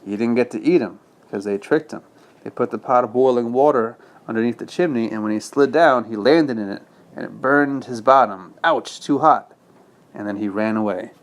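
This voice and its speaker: Man, father reading aloud, bedtime storytelling, patient explanations, simple chat, gentle check-in questions. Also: medium pitch, normal speed. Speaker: father reading to his child.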